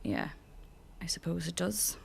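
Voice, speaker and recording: A young actress with an Irish accent playing a guarded, wry, depressed woman in therapy, hesitant and deadpan in a naturalistic radio drama. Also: fairly low pitch, unhurried, gravelly, breathy edge, heavily acted. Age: young adult.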